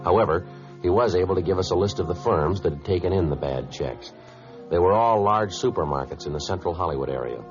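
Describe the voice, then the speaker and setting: An actor playing a terse, businesslike police detective who also narrates, deadpan and matter-of-fact, in a 1950s radio drama. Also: male voice, low voice, natural voice. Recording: dull vintage radio recording with music.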